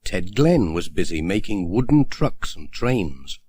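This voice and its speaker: Male storybook narrator with lively intonation. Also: deep voice, a little slow, breathy edge, no room sound. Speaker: audiobook narrator. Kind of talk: scripted children's storybook narration.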